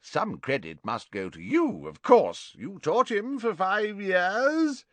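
Guy doing a big booming merry old professor, a bit drunk, voice leaping high and swooping all over, dry close sound. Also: sing-song, slow, no room sound, full character voice. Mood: jovial. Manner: hearty, gushing, loud exclamations. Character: tipsy jovial older male professor, booming.

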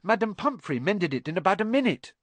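A man's voice: high-pitched, big pitch swings, fairly quick, a bit bright, dry recording, voice pushed up, full character voice. A man voicing a frightened, forgetful young schoolboy who pleads anxiously in an audiobook. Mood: reassuring. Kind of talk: audiobook character voice.

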